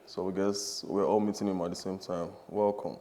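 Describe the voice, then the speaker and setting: A man hosting a casual interview with a lowish voice. He talks in a chatty, upbeat way and repeats himself as he works out his questions. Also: flat delivery, normal speed, mellow, a little hiss or hum. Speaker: podcast host.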